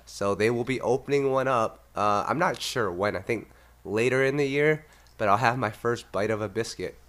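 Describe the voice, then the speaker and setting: A man's voice: mid-range voice, normal speed, a bit bright. A man with a nasal, congested voice hosting a casual music podcast. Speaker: podcast host.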